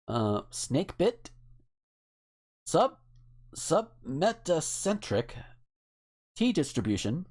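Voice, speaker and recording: Man hosting a chat, very animated pitch. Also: husky. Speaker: podcast host. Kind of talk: casual chat, reading out word lists.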